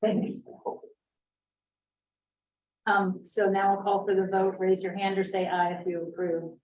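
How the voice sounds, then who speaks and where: A woman's voice: medium pitch, level tone, a little mellow. Woman chairing a meeting from afar. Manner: brisk, procedural. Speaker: board chair. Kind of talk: running a meeting. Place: meeting room. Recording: echoey room, distant mic, dull sound.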